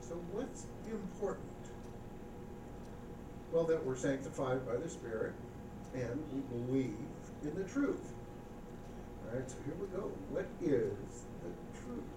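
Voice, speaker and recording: A man preaching a sermon as pastor, reading scripture slowly and with emphasis, then speaking casually and asking the congregation questions. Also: medium pitch, sing-song. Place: church sanctuary. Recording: echoey church recording from far off with background noise.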